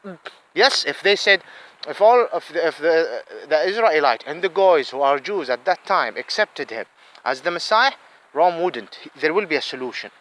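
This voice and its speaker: A man's voice: a little high, lively intonation, moderate pace, fairly bright tone, poor audio. A man with an Arabic accent giving a heated solo monologue, repeating himself and mixing in Arabic phrases. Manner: heated, repeats words, switches between English and Arabic.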